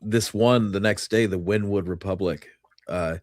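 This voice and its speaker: A man co-hosting a casual history discussion in a measured way, sometimes reading aloud from old clippings. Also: fairly low pitch, a little mellow, no room sound. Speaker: co-host.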